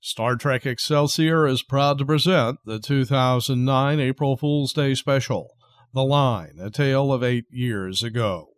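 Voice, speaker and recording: A man's voice: medium pitch, unhurried, no room sound, studio quality. A man reading a scripted show introduction as an announcer.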